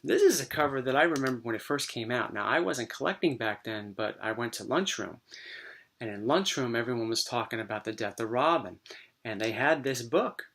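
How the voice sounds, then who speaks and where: A man with a voice worn dry and hoarse by a recent cold. He talks in a loose, easygoing way, full of ums and restarts. The tone is casual show-and-tell. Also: medium pitch, brisk. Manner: relaxed, rambling, many fillers. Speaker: comic book collector vlogger.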